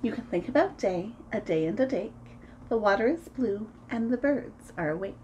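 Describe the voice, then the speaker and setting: A woman in her thirties reading a picture book aloud to preschoolers in an expressive, sing-song way, as host of a story time. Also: mid-range voice, moderate pace, highs cut off, a little hiss or hum. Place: small room at home, indoors.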